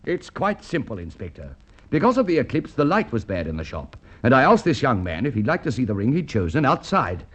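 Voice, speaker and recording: A man's voice: mid-range voice, huge pitch swings, fairly quick, breathy edge. An actor playing a fussy, indignant male shop proprietor, in vintage radio drama style. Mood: flustered, indignant. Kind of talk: Golden Age radio drama acting.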